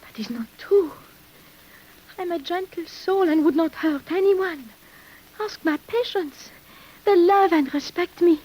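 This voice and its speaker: An actress playing a murderous French village nurse in a formal, melodramatic 1950s radio drama style. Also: female voice, very high voice, measured pace, long pauses, darkish tone, poor audio. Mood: desperate denial. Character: murderous French village nurse, indignant, protesting her innocence.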